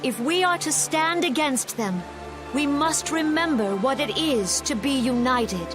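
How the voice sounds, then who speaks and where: An actress playing a solemn, compassionate leader of a forest nation, giving a formal memorial speech in a video game with stately, measured delivery. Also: female voice, sits high, animated. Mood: grave, resolute. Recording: music playing behind the voice.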